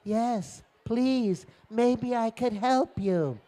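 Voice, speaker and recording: A man voicing a polite, helpful young girl in an oral story, gentle, chatty and a little hesitant. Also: very high-pitched, very slow, voice pushed up, heavily acted. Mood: gentle concern.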